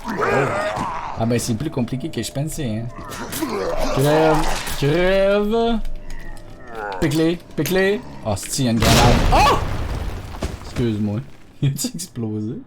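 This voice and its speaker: A man with a Quebec French accent, an excitable streamer swearing often and talking to his chat during live gameplay commentary. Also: on the high side, a little slow, pauses often, heavy background noise.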